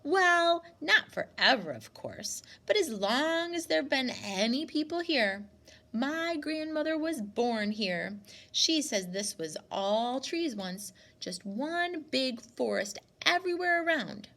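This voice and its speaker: An earnest young storybook girl, played by a woman, with a high, very lively voice. She answers plainly and candidly, if a little guarded. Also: slow pace, exaggerated voice. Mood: explaining. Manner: plain, eager to explain.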